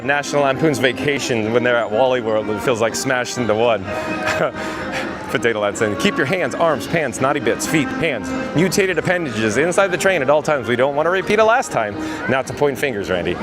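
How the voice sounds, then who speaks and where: A hoarse, raspy man talks from a theme park on a handheld phone, his voice sitting a touch higher than most men's. He is chatty and reads viewer comments aloud to the camera. Park music plays clearly behind him. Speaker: livestream host. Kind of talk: live theme park vlog reading chat.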